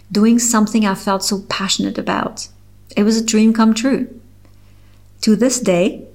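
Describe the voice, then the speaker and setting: Woman, French accent, middle-aged, reads her own essay, very slow, measured, earnest. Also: medium pitch. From Switzerland. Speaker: author reading her article. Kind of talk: reading a personal essay aloud.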